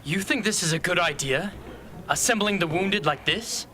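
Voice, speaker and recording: A man's voice: high-pitched, normal speed, pushed and bright, a little hiss or hum. A man playing a protective, skeptical young rebel soldier in an amateur radio drama.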